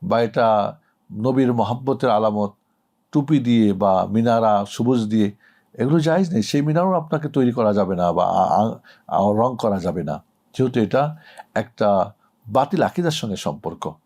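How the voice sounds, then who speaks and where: A man's voice: on the low side, lively intonation, normal speed, long pauses, dark tone. A middle-aged man speaking as an Islamic cleric, giving a didactic, emphatic religious answer to a question. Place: indoor studio set.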